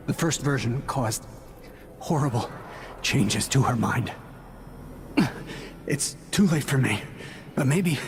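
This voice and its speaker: A dying assistant in a video game, earnest and pleading. He labours to speak in short broken phrases at a medium pitch, with clear ambient noise behind him.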